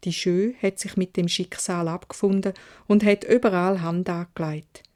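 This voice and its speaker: A woman's voice: on the low side, steady tone, moderate pace, darkish tone. A woman with a Swiss German accent narrating a children's fairy tale expressively in dialect, as a storyteller.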